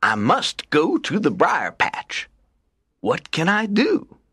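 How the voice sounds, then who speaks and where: A man voicing an excitable, friendly male rabbit in a storybook, with a strained voice and exaggerated, drawn-out exclamations. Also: fairly high pitch, husky, voice pushed up, heavily acted. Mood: worried. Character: friendly male rabbit, excitable, indignant, pleading when in trouble. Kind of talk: storybook character voice.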